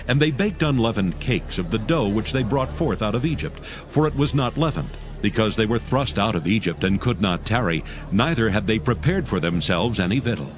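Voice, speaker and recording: A man narrating archaic scripture from a script in a measured, solemn manner. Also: fairly low pitch. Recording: music bed behind the voice.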